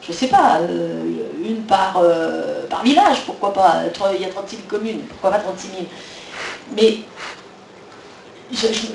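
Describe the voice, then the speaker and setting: A man thinks out loud on a conference panel, hesitant and full of pauses and fillers. He is far from the mic in an echoey hall with some faint noise behind him. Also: high-pitched. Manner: hesitant, many fillers, reflective. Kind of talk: panel discussion. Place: conference hall at a trade fair.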